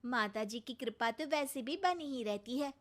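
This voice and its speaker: A woman's voice: sits high, lively intonation, moderate pace. A young woman voicing a polite, dutiful daughter-in-law in a cartoon, speaking earnestly. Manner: earnest, gently pleading.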